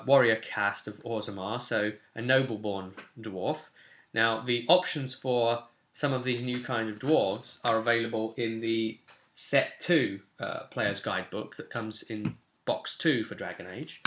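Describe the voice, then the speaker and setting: A young man with a crisp, bright voice at a medium pitch talks viewers through tabletop game rules as their game master. He is casual and chatty, slipping in dry little asides as he explains. He sounds like he is recording at home, a short way from the microphone. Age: young adult. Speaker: game master and channel host. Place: small furnished room at home, indoors.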